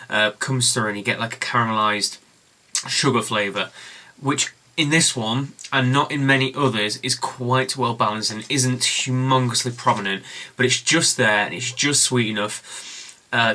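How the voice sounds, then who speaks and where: A young Englishman reviewing a beer at home in a casual vlog, enthusiastic and rambling with plenty of fillers, his voice slightly hoarse. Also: mid-range voice, bright, forceful tone. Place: home room with bookshelves, indoors.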